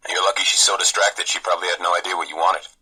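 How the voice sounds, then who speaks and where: An actor playing a gruff, overworked reactor boss on a ship, blunt and weary, in a video game audio log. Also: male voice, low-pitched, steady tone, fast talker, pushed and bright, full character voice, poor audio. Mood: cold, warning.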